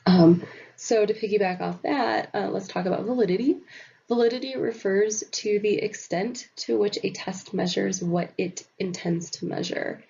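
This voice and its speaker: A woman's voice: medium pitch, normal speed, vocal fry, dark tone, husky, highs cut off. A woman recovering from an illness, sounding nasal, explains a topic in a recorded slide presentation with many fillers. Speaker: student presenter.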